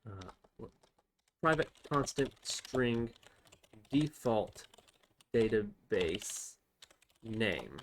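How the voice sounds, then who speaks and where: A man's voice: on the low side, animated, extremely slow, pauses often, slight background noise. A man hosting an unscripted programming tutorial, thinking aloud step by step as he types. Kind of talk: unscripted screen-recorded coding tutorial.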